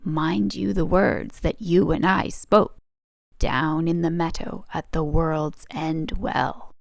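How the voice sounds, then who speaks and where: Woman as enchanted frog suitor, sing-song pleading, insistent, creaky, dry storybook reading. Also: deep voice, steady tone, no room sound, heavily acted. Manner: slow, chanting refrain with drawn-out endearments.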